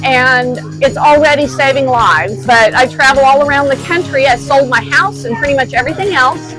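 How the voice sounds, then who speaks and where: A woman's voice: sits high, moderate pace, fairly bright tone, rough recording. A woman from the Czech Republic, a travel adventurer and speaker, answering interview questions in an open, earnest way. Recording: music playing underneath.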